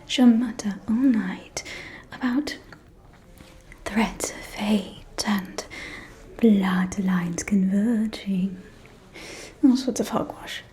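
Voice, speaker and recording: A woman playing a gossiping, catty aristocratic vampire lady in a whispered ASMR roleplay, with a breathy, confiding, arch delivery. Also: mid-range voice, dark tone, lightly acted. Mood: mocking, disdainful. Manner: slow, confiding, arch, drawling.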